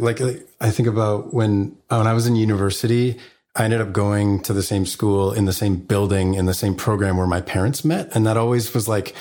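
Male podcast host, low voice, easygoing chat with fillers. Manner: relaxed conversational, with fillers. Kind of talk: podcast interview.

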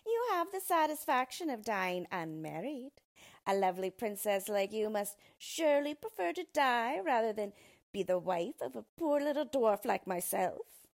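A woman's voice: fairly high pitch, very animated, normal speed, a little mellow, voice pushed up. A woman reading a storybook, voicing a malicious, boastful little male dwarf with a pompous, wheedling manner. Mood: spiteful.

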